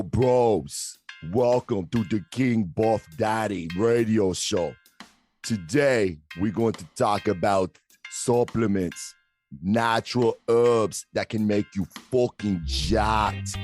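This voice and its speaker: A man's voice: fairly low pitch, slow pace, darkish tone. A man playing a dim, cocky gym-bro radio host with swaggering, repetitive bro slang in a parody show. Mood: cocky, pushy. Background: guitar music.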